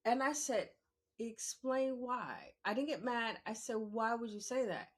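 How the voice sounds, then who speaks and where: A woman's voice: fairly high pitch, lively intonation, unhurried. A woman, talking candidly and emphatically in a conversational monologue, repeating phrases for emphasis. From New York City, born in the Bronx and raised in Queens. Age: 50s. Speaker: podcast host.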